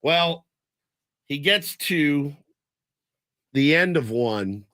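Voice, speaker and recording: Animated male radio host telling a story. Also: fairly high pitch, very slow, no echo. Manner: animated storyteller, acts out quotes. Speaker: radio sports talk host. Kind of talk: casual storytelling on air.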